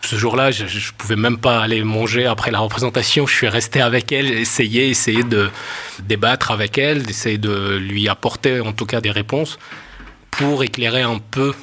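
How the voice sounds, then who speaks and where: A man, an actor whose first language is Arabic, calmly and earnestly recounting a debate with a student. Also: lowish voice, moderate pace. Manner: calm, earnest, hesitant fillers. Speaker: stage actor. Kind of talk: interview anecdote.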